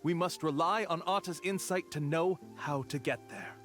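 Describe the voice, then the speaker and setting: An actor playing a polite, reassuring tour guide in a video game, with an earnest sales patter. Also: male voice, a little high, normal speed, fairly bright tone, soft background music, lightly acted. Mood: explanatory, reassuring. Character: smooth-talking male tour guide, polite, reassuring con artist.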